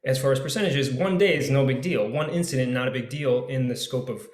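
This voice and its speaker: A man speaking reflectively in a panel discussion, halting and circling back as he thinks aloud, like an actor sharing a personal view. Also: mid-range voice. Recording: roomy, echoey video call sound.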